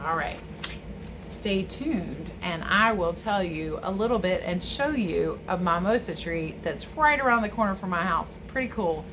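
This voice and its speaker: A woman's voice: on the low side, animated, unhurried, background noise. A woman reading and explaining to young viewers as a children's read-aloud teacher and host.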